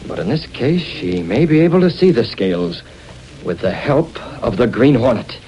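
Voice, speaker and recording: A man's voice: medium pitch, very animated, warm tone, dull audio, close to an everyday voice. Man playing a stern masked radio vigilante, old noisy recording. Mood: grave, resolute. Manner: commanding, deliberate, formal. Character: masked male vigilante hero, stern and shrewd. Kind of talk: 1930s-40s radio drama acting.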